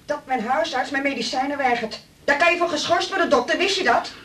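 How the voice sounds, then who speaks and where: An actress with a Netherlands Dutch accent playing a stubborn, aggrieved and demanding patient in a radio drama, indignant and combative in manner. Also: female voice, high voice, brisk, fairly bright tone. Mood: threatening, huffy.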